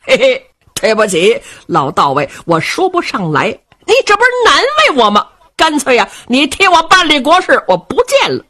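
A woman voicing a boisterous, carefree middle-aged male rebel king who talks loud and brash and laughs a lot. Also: high voice, wildly swooping pitch, normal speed, pitched above the performer's own voice, heavily acted. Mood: boastful.

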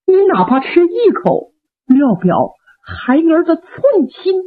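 A woman's voice: big pitch swings, takes it slowly, full character voice. A woman voicing a young male warrior who pleads with his father through tears, heard through a tinny radio recording. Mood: pleading. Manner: weeping, pleading, high-strung.

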